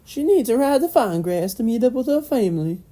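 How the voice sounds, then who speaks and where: A woman's voice: a little high, lively intonation, fairly quick, warm tone, voice pushed up. A woman voicing a motherly old farm wife, fussing and hospitable, in a storybook reading. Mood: explaining.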